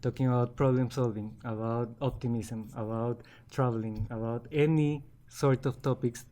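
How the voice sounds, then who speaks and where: Halting Colombian-accented man, mellow voice. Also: medium pitch, steady tone. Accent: Colombian Spanish. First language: Spanish. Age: 40s. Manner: restarts words often, halting and earnest. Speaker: guest. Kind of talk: casual interview conversation.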